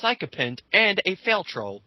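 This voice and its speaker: Very bright-voiced young man, blunt and casual. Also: high-pitched, big pitch swings, unhurried, no echo. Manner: blunt, sweary. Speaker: commentator reading out online comments. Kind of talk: casual rant with read-aloud comments.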